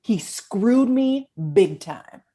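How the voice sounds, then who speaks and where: A woman's voice: a little high, animated, extremely slow, mellow, breathy edge, full character voice. A woman playing a brash, shady private-eye type in her thirties, pushy and emphatic, in a comedy table read.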